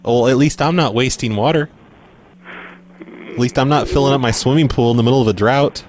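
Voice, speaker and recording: A man's voice: mid-range voice, fairly quick, darkish tone, a little hiss or hum. A man making a prank phone call as a host posing as a utility worker, stammering and accusing in a mock-serious way. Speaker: prank caller, show host.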